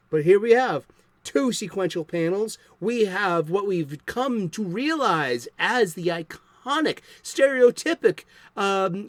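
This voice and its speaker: A man's voice: sits high, no echo. A man in his fifties with a light Boston accent, hosting a comic book show in a chatty, rambling, enthusiastic way. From South Boston, USA. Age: 50s. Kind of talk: casual chat to the viewer.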